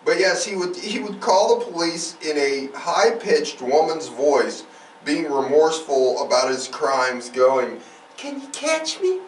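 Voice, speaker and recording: A man's voice: fairly high pitch, measured pace. A man co-hosting a casual true-crime podcast, rambling along and repeating himself for emphasis. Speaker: podcast co-host. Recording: roomy, distant mic, faint noise.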